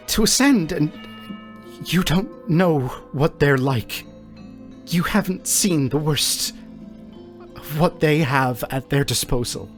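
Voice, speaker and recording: A man puts on a timid tabletop-game character who begs to be heard. The voice is lifted high and stammers through desperate pleas, over faint music. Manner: pleading, halting, stammering. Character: timid, desperate citizen pleading for a hearing. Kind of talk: tabletop roleplay character acting.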